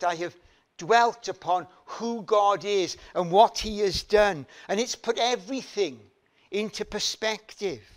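An older man from Wales preaches from a lectern in a church hall. He talks at an unhurried pace, and his voice sits slightly higher than most men's. He stresses his points by repeating phrases. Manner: slow, emphatic preaching with rising repeated phrases and hand gestures. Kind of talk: sermon.

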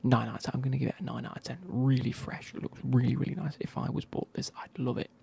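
A man, reviewing football kits in a soft, casual whisper with wry asides. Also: medium pitch, fairly even intonation, fast, creaky, breathy edge.

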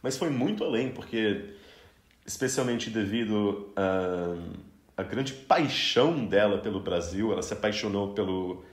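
A man's voice: fairly low pitch, expressive, unhurried. Chatty Brazilian man in a roomy room. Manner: chatty, with frequent restarts and easy laughter. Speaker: podcast host interviewing a guest. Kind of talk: casual interview conversation.

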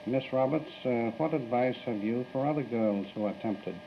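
A gravelly, medium-pitched man interviews in a formal, stilted way. He is heard on an old 1930s newsreel track, muffled and faintly noisy. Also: moderate pace.

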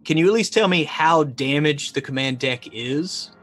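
A man's voice: on the high side, moderate pace, a bit bright, soft background music, pitched above the performer's own voice. A man playing a weary, sarcastic crash survivor in a tabletop game, talking with mock politeness. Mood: probing, wry.

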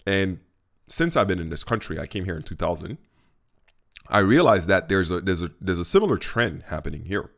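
Deep, gravelly-voiced man vlogging from home. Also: expressive, fairly quick. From Haiti. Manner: conversational and candid, with frequent fillers. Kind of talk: casual talking-head vlog. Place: home kitchen, indoors. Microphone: studio mic on a stand in front of him.

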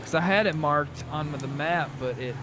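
A man with a slightly high voice, roleplaying in an online game. He talks bluntly and swears freely, with faint game noise behind him. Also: normal speed. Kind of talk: improvised in-character game chat.

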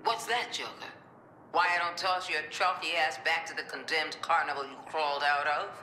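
An actress playing a stern, ruthless government commander in a video game, blunt, contemptuous and commanding. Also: female voice, low-pitched, steady tone, moderate pace, pushed and bright, reverberant, a little hiss or hum. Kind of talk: dramatic video game voice acting.

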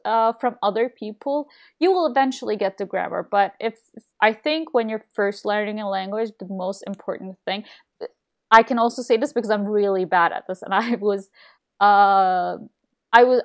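A young woman from Turkey talks casually on a game stream from a small room at home, close to a desk mic. She rambles, restarts her sentences and fills the gaps with "um" and "like" at a middling pitch. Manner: rambling, many fillers and restarts. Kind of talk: casual chat while gaming.

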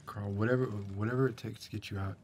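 A middle-aged man playing a shifty, awkwardly neighborly backwoods man in a quiet, deadpan, halting ASMR roleplay. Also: fairly low pitch, slight background noise, close to an everyday voice. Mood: awkward menace. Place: dim indoor room. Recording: close recording with music behind.

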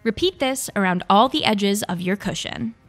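A woman's voice: medium pitch, normal speed. A young woman hosting a craft tutorial, reading scripted step-by-step instructions. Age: young adult. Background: background music.

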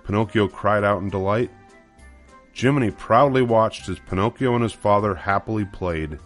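A man's voice: fairly low pitch, moderate pace, soft background music. An older man narrating a children's storybook from a script.

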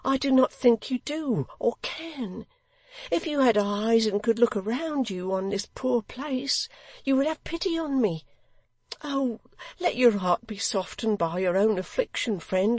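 A man's voice: very high-pitched, expressive, unhurried, dry recording, pitched above the performer's own voice, heavily acted. A man voicing a frightened, destitute widow in a storybook, pleading in an anguished manner. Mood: desperate pleading.

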